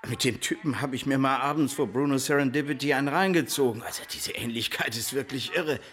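A man's voice: mid-range voice, a little fast, fairly bright tone, lightly acted. An actor playing a shifty, blustering showbiz man in a German radio crime drama, slangy in his manner. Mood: slangy, ingratiating. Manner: slangy, swinging from indignant to ingratiating. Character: shifty, blustering male TV showbiz gun owner.

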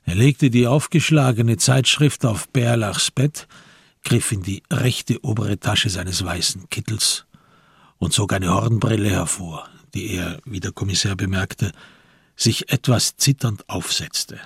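A man reads scripted literary narration for a radio crime drama. His voice is low and very husky, recorded close and dry, and he tells the story in a measured way. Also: no echo.

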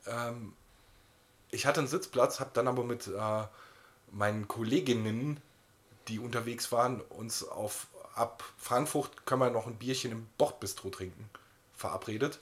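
A man's voice: mid-range voice, normal speed. A man co-hosting a podcast in casual, rambling banter with a wry touch. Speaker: podcast host.

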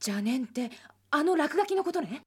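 An eager young woman, with a very high, lively voice and an inquisitive way of speaking. Faint music plays behind her in this radio drama. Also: normal speed.

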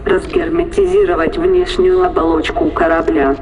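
An actor playing the obedient computer voice of a spaceship in a science fiction audio drama, clipped, formal and procedural. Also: male voice, sits high, big pitch swings, normal speed, gravelly, a little mellow, faint music behind, heavily acted. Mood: impassive, formal.

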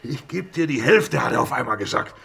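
An actor playing a menacing, scheming crook in a radio crime drama, with a raspy, aggressive delivery. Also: male voice, medium pitch, lively intonation, fairly quick, a bit bright. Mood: scheming, self-justifying. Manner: aggressive, self-justifying. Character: menacing scheming male crook, blustering about a botched deal.

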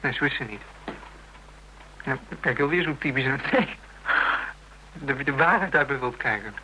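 A man's voice: mid-range voice, a little slow, slight background noise, rough recording. A man playing an insecure, blunt young suitor in a radio drama, hesitant and informal in his speech. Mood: defensive, joking. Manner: hesitant, stumbling, informal, excitable. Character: Ruud, insecure jealous teasing young suitor, blunt and boyish. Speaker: actor in a radio play. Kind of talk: Dutch radio drama acting, naturalistic dialogue.

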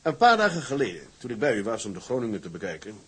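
Husky, determined blunt seaman. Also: on the low side, huge pitch swings, quick pace, exaggerated voice. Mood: urgent, persuasive. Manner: direct, persuasive, insistent. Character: determined, blunt male seaman turned tugboat entrepreneur.

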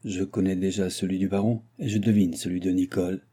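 A man voicing a courteous, composed and inquisitive gentleman guest in an audiobook, speaking politely and with measure. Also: low voice, fairly even intonation, a little fast, breathy edge. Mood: musing. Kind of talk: audiobook character dialogue.

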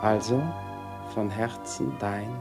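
An actor playing a charming old flame, a celebrated musician, voicing a letter in an affectionate, lightly amused way in a radio play. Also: male voice, on the low side, steady tone, slow, music in the background. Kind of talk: 1960s radio play acting, letter read aloud.

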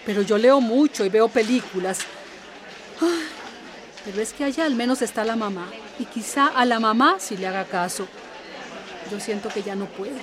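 An actress playing a weary, worried older guardian in a radio drama, plaintive and full of sighing exclamations. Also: female voice, on the high side, normal speed, crowd noise, lightly acted.

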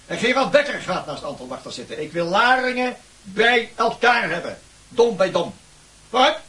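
Radio play schoolmaster: high-pitched, barking and sarcastic bully, heard a little way off the mic. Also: male voice, very animated, moderate pace, bright, forceful tone, far from the mic, exaggerated voice. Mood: scornful, commanding. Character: stern, scornful male schoolteacher bullying his class. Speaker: actor in a radio drama. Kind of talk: Dutch radio play acting.

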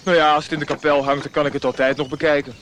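A curious travelling physician with a high voice, played by a man in a radio play. He exclaims, hesitates and answers bluntly. Clear noise runs behind the voice. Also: little pitch movement, racing, a bit bright, natural voice. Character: injured travelling physician, curious and outspoken male. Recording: noisy old radio drama, outdoor effects.

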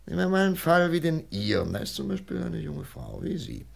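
An actor playing a methodical, persuasive FBI investigator in a German radio crime drama, measured, lecturing and emphatic. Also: male voice, fairly high pitch, sing-song, gravelly, exaggerated voice.

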